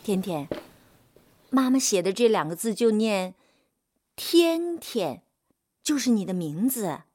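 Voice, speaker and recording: A woman's voice: mid-range voice, big pitch swings, takes it slowly, warm tone, no echo, studio quality. An actress playing a devoted, long-suffering middle-aged mother in a radio drama, with a tender, emotive, pleading delivery. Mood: patient coaxing.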